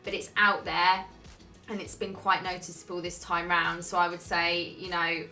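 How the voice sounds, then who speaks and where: Young woman vlogger, low-pitched and bright, relaxed chatty Q&A, faint music underneath. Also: moderate pace, rough recording. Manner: chatty, frequent "um" and "like", laid-back. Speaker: YouTuber answering viewer questions. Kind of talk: casual Q&A chat.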